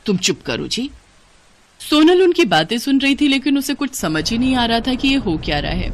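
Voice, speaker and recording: A woman's voice: high-pitched, lively intonation, a little fast, slight background noise. A woman narrating a scripted cartoon story.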